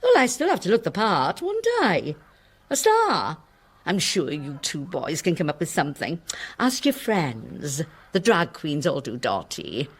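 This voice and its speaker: An actress playing a proud, touchy, theatrical older Welsh singing star in a radio play. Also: female voice, sits low, huge pitch swings, unhurried, husky, heavily acted. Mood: grand, excited. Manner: grand, camp, theatrical, self-important.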